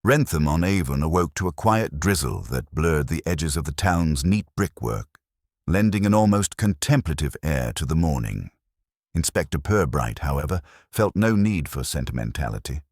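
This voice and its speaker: A man narrating a scripted mystery story in a measured, formal manner, as in an audiobook. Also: rumbling low, animated, a little mellow, dry recording, studio quality.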